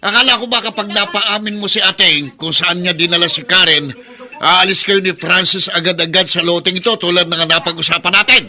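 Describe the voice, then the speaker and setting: Radio drama villain: a scheming, brazen Filipino brothel keeper, very piercing, forceful voice. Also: female voice, lowish voice, brisk. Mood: suspicious, scornful. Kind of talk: Tagalog radio drama acting.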